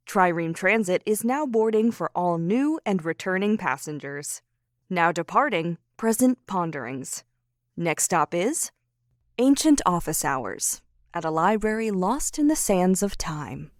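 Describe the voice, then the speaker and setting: A woman's voice: mid-range voice, unhurried, no room sound, studio quality. A woman reading a scripted show intro in the style of a transit boarding announcement. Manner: lively, announcer-like.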